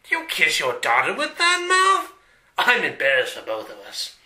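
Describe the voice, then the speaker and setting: Shrill, petty western villain. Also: male voice, huge pitch swings, moderate pace, sharp tone, reverberant, exaggerated voice. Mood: indignant. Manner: whiny, indignant, theatrical. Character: petty, easily offended cartoonish western villain boss. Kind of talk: hobby comedy radio play acting.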